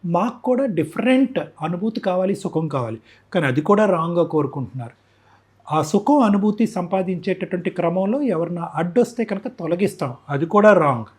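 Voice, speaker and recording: A man with a Telugu accent and a husky voice, explaining his points emphatically as an expert guest in a conversational interview. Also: high voice, expressive, a little fast. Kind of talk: conversational interview commentary mixing Telugu and English.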